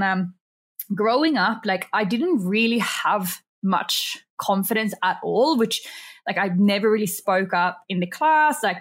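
A woman's voice: medium pitch, a bit bright. A woman from Sweden with a Swedish accent, a binge eating coach chatting as a podcast guest, talkative and full of fillers. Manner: chatty, many fillers, laughs often. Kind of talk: casual interview chat.

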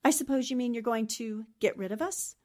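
A woman's voice: fairly high pitch, brisk, mellow, natural voice. An actress playing a brave, serious American newspaperwoman in a 1940s radio drama, earnest and resolute in manner. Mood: sharp deduction.

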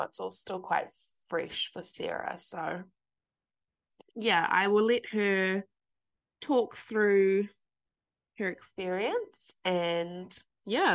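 A New Zealand woman hosts a relaxed podcast chat, a little stuffy and nasal from a cold. She keeps the talk going with quick, friendly affirmations. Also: mid-range voice, creaky. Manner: chatty, encouraging, quick affirmations. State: congested, slightly nasal from a cold. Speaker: podcast host. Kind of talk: casual podcast interview.

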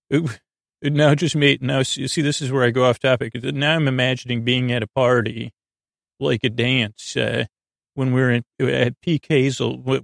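A man hosting a bedtime podcast in a rambling, hesitant monologue, gently reassuring, stammering and circling back as he goes. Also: medium pitch, vocal fry, dry recording, studio quality. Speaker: host of a bedtime sleep podcast.

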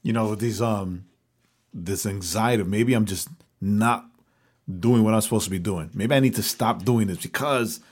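A man's voice: lowish voice, normal speed. A man co-hosting a podcast in casual chat, bantering playfully with slangy talk and frequent laughs. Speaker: podcast co-host.

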